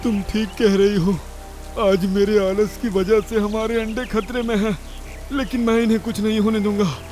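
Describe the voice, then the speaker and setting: A man's voice: sits high, steady tone, moderate pace, warm tone, soft background music, close to an everyday voice. An actor playing a lazy but repentant father bird in a cartoon story, earnest and dramatic. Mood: remorseful, determined. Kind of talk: Hindi cartoon story character acting.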